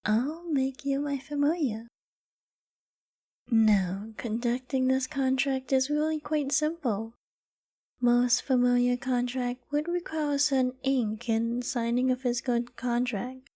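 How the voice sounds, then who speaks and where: Woman acting a slow, breathy possessive fox-girl. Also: high-pitched. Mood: calm, commanding. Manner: soft, slow, breathy, often dropping to a whisper. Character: possessive, lovestruck, dominant yandere fox-girl mage. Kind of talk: intimate ASMR roleplay acting.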